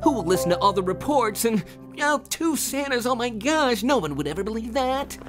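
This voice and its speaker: A man's voice: very high voice, expressive, moderate pace, over music, pitched above the performer's own voice. A man voicing a grumbling, gossipy Christmas elf who exclaims fretfully in a storybook tale.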